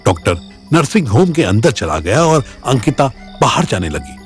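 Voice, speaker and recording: A man's voice: medium pitch, sing-song, normal speed, faint music behind. A man with an Indian accent narrating a scripted dramatic audio story.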